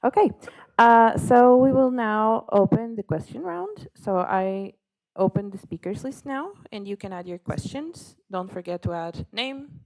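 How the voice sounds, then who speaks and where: A woman's voice: a little high, animated, a little slow, a little mellow, low-quality recording. A woman acting as chair of a meeting, moderating informally and giving procedural instructions.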